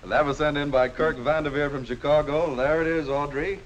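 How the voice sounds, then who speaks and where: A man's voice: on the high side, steady tone, normal speed, highs cut off, a little hiss or hum. A man hosting a 1950s television game show with jokey, upbeat patter in a studio.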